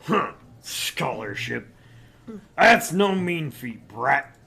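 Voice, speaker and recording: A man's voice: on the high side, sing-song, drawn-out words, vocal fry, sharp tone, heavily acted. A man voicing a brash, boastful older businessman in a visual novel, with a blustery, sardonic and condescending way of talking. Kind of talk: visual novel read-aloud.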